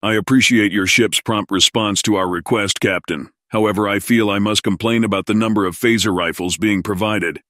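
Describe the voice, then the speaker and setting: A man's voice: lowish voice, steady tone, normal speed, few pauses, bright, forceful tone, dry recording, clean studio sound. A man playing a fussy, courteous alien colony administrator in an audio drama, with a formal, officious manner. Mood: complaining.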